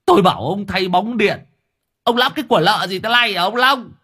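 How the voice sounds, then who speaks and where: A man voicing a hot-tempered young master who shouts and scolds in exasperation. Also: high voice, expressive, brisk, husky, voice pushed up, heavily acted. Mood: furious.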